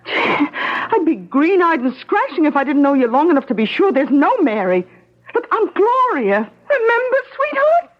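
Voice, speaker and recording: An actress playing a gangster's anxious, affectionate girlfriend in a 1970s radio drama, slangy and expressive, on a dull broadcast recording. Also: sits high, moderate pace. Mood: worried.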